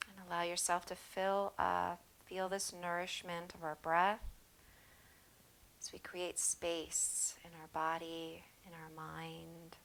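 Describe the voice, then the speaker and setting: A woman yoga teacher guiding a breathing practice with slow, soothing, calm cues. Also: lowish voice, creaky, slight background noise, low-quality recording. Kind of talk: guided yoga breathing instruction.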